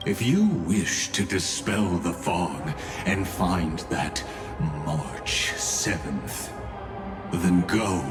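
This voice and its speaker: A grave, fatalistic schemer of a scholar for a fantasy game. His voice is low and extremely slow, each phrase set apart by portentous pauses. Music plays clearly behind him. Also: heavily acted. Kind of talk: dramatic video game voice acting.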